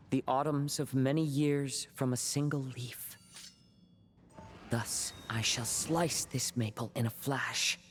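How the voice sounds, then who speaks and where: Man playing a slow, serene poet swordsman. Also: medium pitch, slight background noise, natural voice. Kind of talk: poetic fantasy game dialogue.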